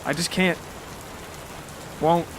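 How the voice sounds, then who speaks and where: A steadfast, kindly rural stepfather played by a male narrator in a podcast drama. He is measured, folksy and reassuring, in a raised, clear-edged voice with faint noise behind. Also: on the high side, steady tone, voice pushed up. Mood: resolute.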